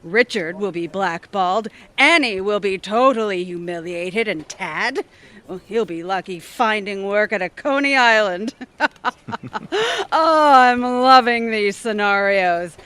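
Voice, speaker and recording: A woman's voice: mid-range voice, animated, unhurried, a bit bright, smooth. A woman acting a gloating, scheming, imperious boss in a comedic audio drama.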